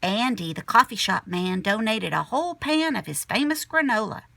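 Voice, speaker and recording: A woman teacher reading a children's picture book aloud expressively, sometimes dropping to a hushed tone. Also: medium pitch, moderate pace, creaky, no room sound. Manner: animated storyteller, drops to hushed whispery tones.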